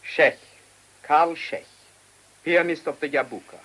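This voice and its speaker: Haughty, measured pianist. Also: male voice, a little high, a bit bright, low-quality recording. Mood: haughty, cool. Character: proud, controlled, graying male cafe pianist. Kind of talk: radio drama acting.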